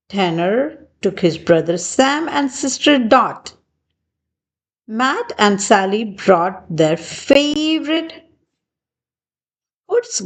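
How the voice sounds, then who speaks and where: A woman reads a children's storybook aloud at a very slow pace. Her pitch is lively and swoops expressively, with deliberate phrasing meant for young listeners. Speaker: children's book reader.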